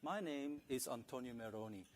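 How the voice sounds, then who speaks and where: A man's voice: medium pitch, normal speed, a little hiss or hum. A man in his forties with an Italian accent, a portfolio manager giving a formal conference presentation with light touches of humor. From Italy and Switzerland. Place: conference stage.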